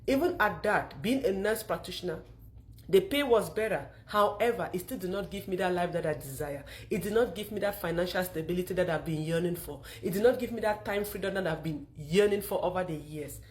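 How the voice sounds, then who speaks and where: A middle-aged woman with a Cameroonian accent gives a motivational testimonial pitch, speaking emphatically with repeated phrases. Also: fairly quick, a little mellow. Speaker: online marketer telling her own story.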